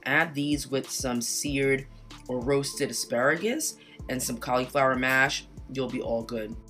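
A woman narrating a cooking video in a voice-over, chatty and relaxed, giving casual step-by-step instructions. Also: rumbling low. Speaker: cooking channel host. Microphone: home voice-over mic.

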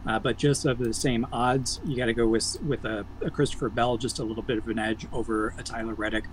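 A man analysing racing for a betting preview over a video call, measured and stat-heavy with the odd um. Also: medium pitch, fairly even intonation, slight background noise, low-quality recording. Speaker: motorsports analyst guest.

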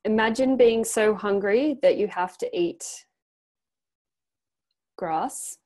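A woman's voice: mid-range voice, slow, a little mellow. A young woman on a video call, coaching a group in an encouraging way and reading a prepared text aloud. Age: young adult. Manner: encouraging, affirming. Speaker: facilitator leading a group practice exercise. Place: small indoor room, on a group video call. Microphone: computer microphone on a video call.

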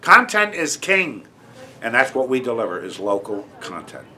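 A man's voice: medium pitch, big pitch swings, normal speed, pushed and bright. A man in his sixties speaking emphatically in a documentary interview, with punchy repetition. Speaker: radio industry interviewee. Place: indoor office.

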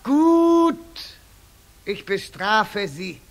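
A woman playing a stern, thundering old chief witch in a children's radio play, imperious and deliberate, with a creaky, sharp voice. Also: mid-range voice, big pitch swings, background noise, exaggerated voice. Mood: stern, decisive.

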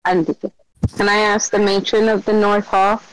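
A woman playing in a tabletop game session, chatty and planning out loud. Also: medium pitch, little pitch movement, moderate pace, smooth, no room sound, low-quality recording. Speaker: player.

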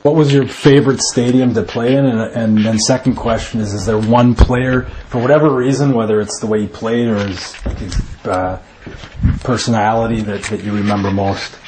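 A man's voice: lowish voice, steady tone, moderate pace. A man asking questions in a casual sports interview. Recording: faint noise and clicks.

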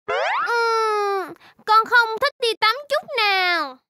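A little baby shark from a children's cartoon. The voice is extremely high and sharp, spoken very slowly in a childlike, exclamatory way. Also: lively intonation, no room sound, studio quality, heavily acted. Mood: sulky, sheepish. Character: cheerful little baby shark child, reluctant about washing.

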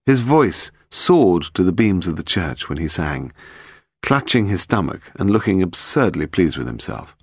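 A British man narrating a detective novel in a measured, literary storytelling manner. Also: low voice, very animated, vocal fry, slightly rough, no room sound, clean studio sound. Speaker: audiobook narrator.

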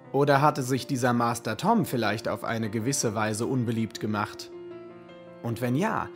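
A man narrating a radio play as a scripted, literary story in a measured, dramatic manner. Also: mid-range voice. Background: music.

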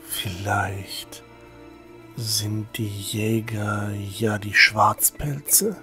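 A man's voice: on the low side, fairly even intonation, extremely slow, bright, forceful tone, exaggerated voice. A man playing a squeamish, naive young novice priest in a fantasy audio drama, whiny and hesitant. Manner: whiny, hesitant, easily disgusted. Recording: background music under voice.

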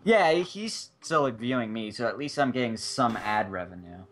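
A man's voice: mid-range voice, expressive, moderate pace, fairly bright tone. A man around twenty-one talking casually about his stream during a group game, cracking jokes in an easygoing way. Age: 20s. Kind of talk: casual gaming chat.

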